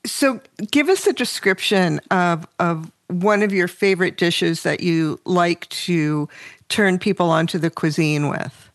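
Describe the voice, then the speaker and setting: A woman hosting an interview who asks her questions in a friendly, measured way. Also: lowish voice, no room sound, studio quality.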